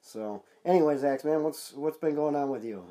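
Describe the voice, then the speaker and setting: A man's voice: on the high side, moderate pace, mellow. A man co-hosting a wrestling podcast in casual chat, sounding stuffed up and nasal from congestion. He rambles loosely, with fillers and restarts. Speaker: podcast co-host.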